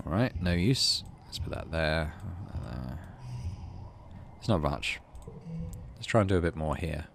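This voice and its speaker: Male let's player, low register, animated delivery, casual thinking-aloud commentary mixed with story reading. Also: a little slow, vocal fry, slight background noise. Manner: thinks aloud while playing. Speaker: gaming channel host playing a visual novel.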